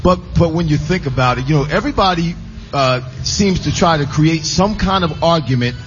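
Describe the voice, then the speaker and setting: Lively, insistent radio co-host, a man with a higher voice, dull lo-fi sound. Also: moderate pace, faint music behind. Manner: animated, argumentative. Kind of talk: live sports radio banter.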